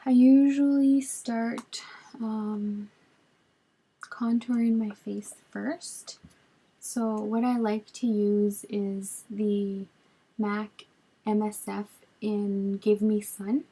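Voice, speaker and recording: A woman in her twenties with a raspy voice from a cold, chatting casually through a makeup tutorial, recorded on a webcam in a small bedroom. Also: mid-range voice, extremely slow, rough recording. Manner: relaxed, chatty, lots of ums while applying makeup. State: hoarse from a cold. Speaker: beauty vlogger. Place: small bedroom with storage shelves, indoors. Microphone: webcam at arm's length.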